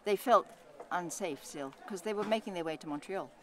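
An older woman explaining history informally, halting and matter-of-fact. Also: lowish voice. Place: outdoor puppet booth at a farm.

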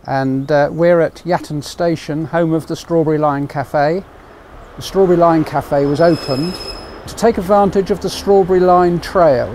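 A man's voice: fairly high pitch, fairly even intonation, normal speed, a little mellow. A British man in his sixties presenting to camera as a project advisor, outdoors at a railway station. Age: 60s. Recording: faint outdoor station noise.

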